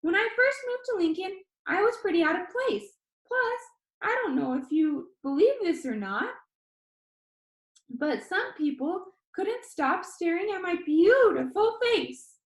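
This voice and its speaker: A woman voicing an energetic, upbeat, slightly weird young male video host in an audiobook, with bubbly, emphatic, encouraging delivery. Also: very high voice, a little slow, roomy sound, pitched above the performer's own voice, heavily acted, low-quality recording. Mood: earnest, upbeat. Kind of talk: theatrical audiobook character voice.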